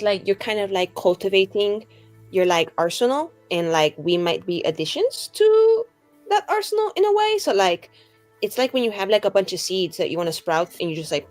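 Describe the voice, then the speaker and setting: A woman's voice: medium pitch, lightly acted. A woman playing a friendly, naive young female adventurer in a tabletop game, rambling casually in very colloquial phrasing. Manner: rambling, casual, filler-heavy valley-girl phrasing. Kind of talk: improvised tabletop roleplay dialogue.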